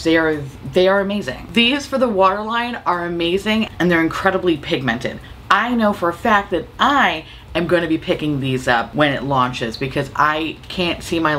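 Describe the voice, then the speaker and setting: A young woman with a slightly raspy voice from a cold, chatting playfully and profanely while trying on makeup at home. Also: low-pitched, fairly bright tone, slight background noise. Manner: playful, profane, self-mocking. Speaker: beauty vlogger. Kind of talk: casual makeup vlog chat.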